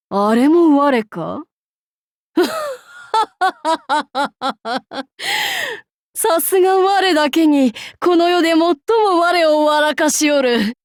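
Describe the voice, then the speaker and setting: A woman's voice: on the high side, no echo, clean studio sound. A woman voicing an imperious, haughty demon-king warlord in a mobile game, speaking in pompous, archaic lordly Japanese phrasing. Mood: gleeful, haughty.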